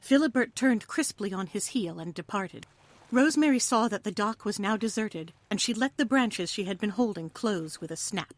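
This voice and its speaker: A woman narrates an adventure story from a script. Her voice sits at a middle pitch, and her delivery is expressive and theatrical, suited to an audio play. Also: moderate pace, no room sound. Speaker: narrator, reader.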